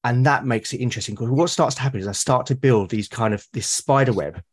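A man's voice: medium pitch, fast talker, breathy edge. A British man with a mid-range voice chats as a podcast guest. He talks thoughtfully and at length, and often circles back to rephrase what he means. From Britain. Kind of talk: casual conversation about solo roleplaying.

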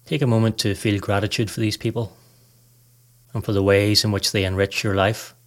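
Low, even-toned male meditation guide. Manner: calm, measured, instructional. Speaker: podcast host, meditation guide. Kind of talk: scripted guided meditation narration.

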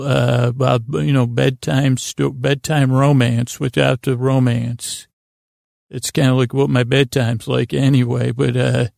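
Male bedtime podcast host, very creaky, halting rambler, recorded close and dry over faint music. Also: mid-range voice, darkish tone, no room sound. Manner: meandering, halting, trailing off and doubling back.

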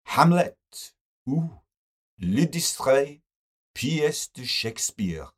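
A man voicing an amiable, eager literary gentleman in an audiobook, gushing and fond of a repeated verbal tag. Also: medium pitch, very animated, extremely slow, voice pushed up, full character voice. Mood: gushing enthusiasm. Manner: gushing, peppered with "don't you know".

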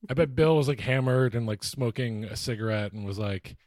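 American dude just chatting sports as cohost, medium pitch, dry room sound. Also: male voice, a little fast, darkish tone, dry recording. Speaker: podcast co-host. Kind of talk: casual sports chat.

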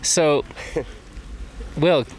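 A man's voice: fairly high pitch, a little hiss or hum, rough recording. Joking male race interviewer outdoors. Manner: friendly, joking, quick questions with laughs. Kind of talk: casual on-course interview. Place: outdoors at an ultramarathon.

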